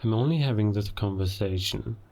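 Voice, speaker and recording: A man playing a cold, murderous werewolf spy in an audio roleplay, speaking deliberately with long pauses and quiet menace. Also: lowish voice, creaky. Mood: calm, probing. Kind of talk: cinematic audio roleplay monologue.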